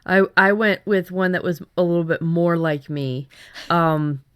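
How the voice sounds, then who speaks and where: A woman's voice: on the low side, unhurried, smooth. A woman in her thirties co-hosting a casual writing chat, sharing story ideas in a playful, joking way. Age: 30s. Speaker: writer and co-host.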